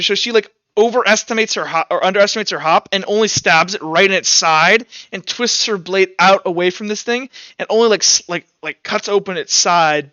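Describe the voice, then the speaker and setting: High-voiced young man, crisp and bright. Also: no room sound, dull audio. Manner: informal, conversational, thinking aloud. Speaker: game master. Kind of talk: casual narration of a tabletop roleplaying game.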